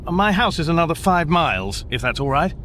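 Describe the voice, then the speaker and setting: A bewildered, courteous English hitchhiker in a radio comedy. His voice leaps about wildly as he hesitates and apologises, on the high side, over clear vehicle noise. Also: very animated, a little fast, fairly bright tone. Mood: puzzled, hesitant. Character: polite, bewildered Englishman, a hitchhiker home from space. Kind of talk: radio comedy drama acting. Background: car interior noise.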